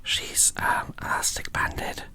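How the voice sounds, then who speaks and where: A woman whispering in a breathy, hushed, unhurried way, as if hosting an ASMR unboxing and describing small details. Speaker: host of a doll unboxing video.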